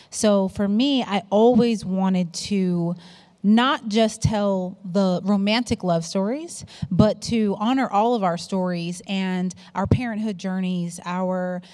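A woman's voice: medium pitch, a little mellow. Thoughtful woman speaking on a panel. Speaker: company CEO and podcast host. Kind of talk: panel discussion.

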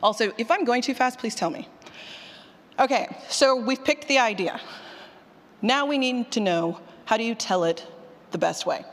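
A woman's voice: a little high, normal speed, long pauses. A woman giving an informal presentation to an audience on a handheld mic, chatty and self-interrupting with jokey asides. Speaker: conference session speaker. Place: large room with an audience. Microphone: handheld microphone. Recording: long hall reverb.

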